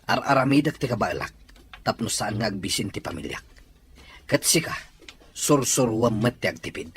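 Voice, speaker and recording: A man's voice: medium pitch, normal speed, a little hiss or hum, natural voice. A man playing a hardworking, exasperated husband and father in an audio drama, sounding weary and defensive. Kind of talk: amateur audio drama dialogue.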